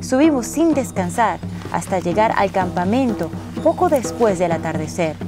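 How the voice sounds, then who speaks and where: A woman's voice: medium pitch, very animated, moderate pace. Woman narrating over music. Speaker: narrator and traveller. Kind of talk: scripted travel narration.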